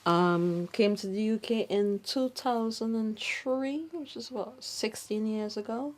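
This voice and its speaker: A woman's voice: fairly high pitch, slow pace, a little mellow. Woman in her thirties from Trinidad. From Trinidad and Tobago. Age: 30s. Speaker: hostel resident. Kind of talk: interview about her life.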